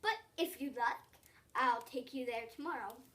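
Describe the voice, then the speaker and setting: A child of about eight reads a storybook aloud, giving an expressive reading with emphatic, stretched words. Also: mid-range voice, moderate pace. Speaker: young reader of a story. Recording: distant from the microphone.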